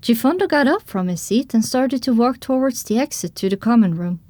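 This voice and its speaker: A woman's voice: fairly high pitch, lively intonation, fairly quick, warm tone, no room sound. A woman reading first-person dramatic story narration for an audio drama.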